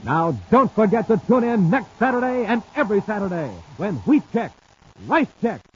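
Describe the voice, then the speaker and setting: High-pitched, animated 1950s radio announcer man. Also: moderate pace, slightly rough. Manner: excited, emphatic, punchy. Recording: dull 1950s radio broadcast recording.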